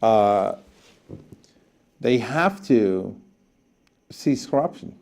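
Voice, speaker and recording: A man with a soft, mellow tone answers as a guest in a studio interview. He speaks earnestly and deliberately, pausing and repeating words to drive his points home. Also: lowish voice, animated, creaky. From Guyana.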